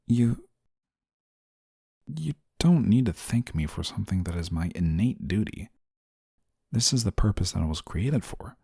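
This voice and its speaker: A stern, dutiful male guardian angel, acted by a man in an intimate audio roleplay. His voice is low, soft-toned and husky. He speaks formally, with a prim reserve that easily turns flustered. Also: expressive, measured pace, pauses often, no echo, clean studio sound, full character voice. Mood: exasperated, dutiful. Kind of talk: scripted audio roleplay, intimate one-sided dialogue.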